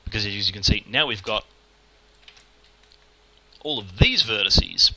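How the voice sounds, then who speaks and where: Fast-talking Australian man, gravelly low voice. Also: expressive. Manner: fast, informal, mutters at the software. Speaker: 3D modelling tutor. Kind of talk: screen-recorded software tutorial, thinking aloud. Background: keyboard typing and mouse clicks.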